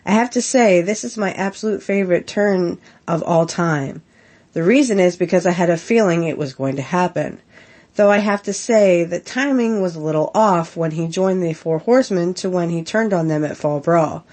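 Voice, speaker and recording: A woman hosting a casual podcast, getting over a head cold, talking in a halting way full of ums while giving earnest opinions. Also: on the low side, darkish tone. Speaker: podcast host.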